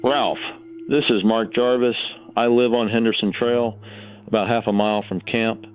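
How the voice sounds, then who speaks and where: A man's voice: lowish voice, fairly even intonation, normal speed, slight background noise, natural voice. A man voicing a terse war veteran calling in to a radio show in an audio drama, in clipped statements over a phone line. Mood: terse, steeled. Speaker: radio caller. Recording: narrow tinny phone line, music behind.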